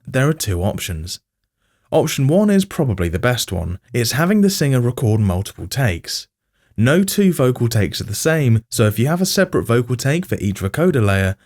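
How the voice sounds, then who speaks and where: A man's voice: medium pitch, a little mellow. A man giving scripted tutorial narration, explaining step by step in informal language and addressing the viewer directly. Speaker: music production tutorial host.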